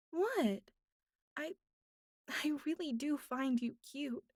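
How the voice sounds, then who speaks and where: A woman acts out a young female captive who is bratty on top and fragile underneath. Her high voice moves very slowly and haltingly, slipping between pouting and soft pleading. It is an intimate audio drama, recorded close and dry. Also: dry recording, heavily acted. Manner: halting, pleading, pouty, soft breathy asides. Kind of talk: intimate audio roleplay addressed to the listener.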